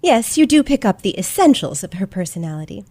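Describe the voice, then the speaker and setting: A woman's voice: medium pitch, big pitch swings, a little fast, dark tone. A woman playing a confident, shrewd teenage girl detective in an old-fashioned radio play, speaking formally and theatrically.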